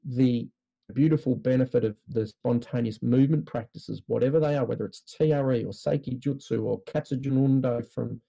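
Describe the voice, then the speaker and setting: Australian man, podcast guest; husky, gravelly, deep mellow tone, earnest explainer. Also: fairly quick, rough recording. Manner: earnest, thoughtful explaining with frequent "you know". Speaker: podcast guest, trauma release practitioner. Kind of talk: reflective podcast conversation.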